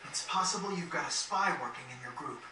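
A man's voice: fairly high pitch, expressive, quick pace, pushed and bright. A man playing an earnest, thoughtful teenage boy adventurer in a video game, recorded off a TV. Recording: roomy, distant, noisy TV capture.

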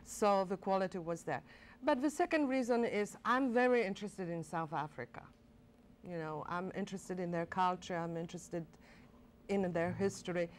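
Woman, lively studio guest. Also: lowish voice, normal speed, slight background noise. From Poland. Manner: enthusiastic, animated, many fillers and restarts. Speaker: director of a college performing arts center. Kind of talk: studio TV interview.

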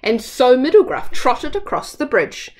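A woman's voice: sits high, big pitch swings, unhurried, a little mellow. A woman in her thirties reading a children's story aloud expressively, as a storyteller at home. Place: small room at home.

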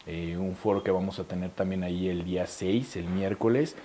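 A man in his thirties with a Mexican accent speaks in a low, mellow voice. He explains his event fluently and persuasively, dropping in small fillers. The sound is clean studio audio from a lapel mic. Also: fairly even intonation, moderate pace, a little hiss or hum. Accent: Mexican Spanish. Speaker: event organizer. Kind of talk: studio interview.

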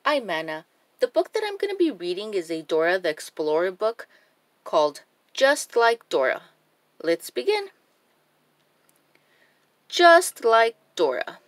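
A woman hosting a children's story time, reading a picture book aloud expressively with deliberate phrasing. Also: medium pitch, wildly swooping pitch, no echo. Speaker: children's storybook reader and channel host.

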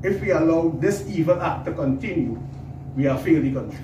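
A man's voice: a little high, expressive, brisk. A middle-aged man giving an earnest press conference statement, repeating words as he talks. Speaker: prison officers' association spokesman. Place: small indoor office room. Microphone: cluster of press mics on a table. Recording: echoey room, mic at a distance, noisy.

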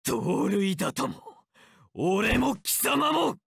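An actor playing a brooding, vengeful antihero in an anime fighting game, declaiming in a grandiose, archaic manner. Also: male voice, high-pitched, huge pitch swings, slow pace, vocal fry, a bit bright, a little hiss or hum, heavily acted. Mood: fierce, exultant. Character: theatrical, brooding, vengeful male antihero wreathed in flame. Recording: dramatic music behind.

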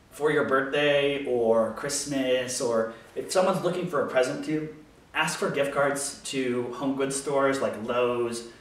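An American man in his thirties talking casually to camera, explaining things as a woodworker answering questions in his small workshop. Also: mid-range voice. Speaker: woodworker hosting a shop Q&A video. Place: small home workshop, indoors. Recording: very echoey room, distant microphone.

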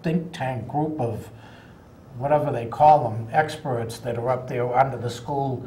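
A man's voice: mid-range voice, a little slow, dark tone. An older man from Boston hosting a casual chat, interjecting and repeating himself. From Boston area, United States. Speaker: talk show host. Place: small TV studio, round table. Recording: roomy studio, faint noise.

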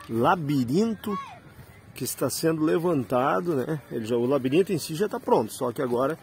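A man talks casually into a handheld phone camera. He keeps pointing things out to his viewers in a slightly high voice, with faint ambient noise behind him. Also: a little mellow. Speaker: rideshare driver and travel vlogger. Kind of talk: casual walking-tour vlog.

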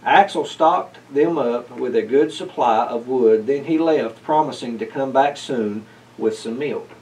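Slow-reading man, a little distant. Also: medium pitch, darkish tone. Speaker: story reader. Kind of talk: children's storybook read-aloud. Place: indoors, library corner with bookshelves. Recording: picked up from a distance.

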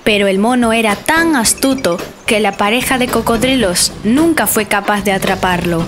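A woman narrating a children's bedtime story in a gentle, clear storytelling manner. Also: medium pitch, normal speed. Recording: narration over music.

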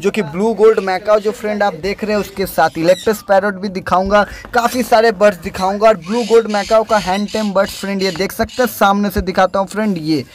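Chatty young man, high voice. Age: young adult. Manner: chatty, repeats "friend" often. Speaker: bird breeder vlogger. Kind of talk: casual vlog voice-over narration. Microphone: dubbed voice-over after filming, no mic on site.

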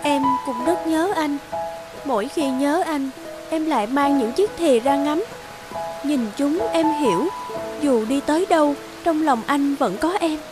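A woman playing a devoted, caring and trusting young wife in an animated drama, speaking tenderly. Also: high-pitched, unhurried, rough recording. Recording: music under the voice.

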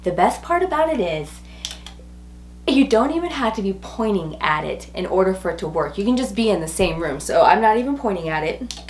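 A woman in her forties talks casually to her viewers about home decor products. Her voice is medium in pitch and a little hoarse, with a chatty, enthusiastic delivery. The sound is roomy, as if recorded indoors a few feet from the microphone. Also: sing-song, a little fast. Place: small furnished rooms at home, indoors. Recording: roomy echo.

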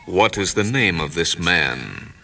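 A man's voice: deep voice, expressive, a little slow, creaky, sharp tone, distant mic, heavily acted. A man voicing an imperious, restless galactic emperor in a science fiction story, commanding and stately. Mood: grand, proclaiming. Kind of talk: audio drama reading of science fiction. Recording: music behind.

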